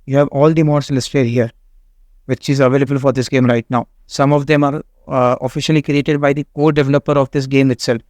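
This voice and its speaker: A man, not a native English speaker, hosting a game walkthrough in a halting way with many fillers and restarts. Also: medium pitch, level tone, a little mellow, dry recording. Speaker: gaming channel host. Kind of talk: casual game walkthrough commentary.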